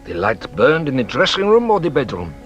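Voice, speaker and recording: Theatrical inspector, wildly swooping voice. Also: male voice, mid-range voice, wildly swooping pitch, a little fast, dull audio, faint music behind. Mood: probing. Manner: probing, exclamatory, theatrical. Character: shrewd, theatrical French male police inspector. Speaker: actor playing a detective inspector. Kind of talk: radio drama acting.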